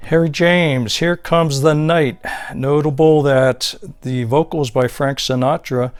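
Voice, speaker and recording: A man's voice: on the high side, fairly even intonation, takes it slowly, clear, resonant tone, no echo, soft background music. A man giving a casual, unscripted show-and-tell narration as a collector, reading out items one by one. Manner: unscripted, listing titles and labels item by item. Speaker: record collector presenting his finds.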